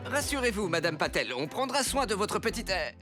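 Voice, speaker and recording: A woman's voice: medium pitch, big pitch swings, fairly quick, lightly acted. A woman voicing an authoritative superhero teacher in a French children's cartoon, theatrical and instructive. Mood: instructive, reassuring. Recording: loud music behind the voice.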